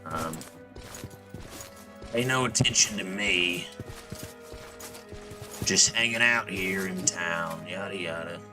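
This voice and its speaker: A man's voice: sits low, slow pace, pauses often. A man giving casual, rambling gameplay commentary as a streamer, jokey and profane. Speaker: video game streamer. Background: game music.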